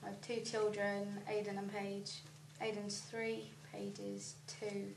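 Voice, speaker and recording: A woman's voice: mid-range voice, completely flat delivery, slow, creaky. A nineteen-year-old woman, a young mother, giving an earnest, reflective personal testimony. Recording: roomy, distant, noisy.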